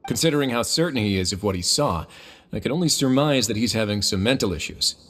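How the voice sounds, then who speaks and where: Low-voiced, composed duke. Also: male voice, animated, fairly bright tone. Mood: matter-of-fact. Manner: measured, matter-of-fact, dry. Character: composed, authoritative male duke running a prison fortress. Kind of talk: video game voice acting.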